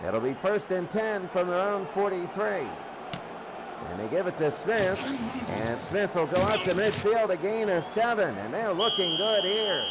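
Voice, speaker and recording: High-voiced male football play-by-play announcer, noisy recording. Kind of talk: live American football play-by-play commentary.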